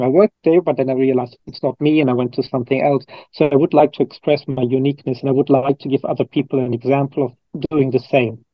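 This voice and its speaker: A man's voice: mid-range voice, no room sound, muffled recording. A man from Germany, a coach and trainer answering interview questions in a thoughtful, rambling way, often restarting his sentences.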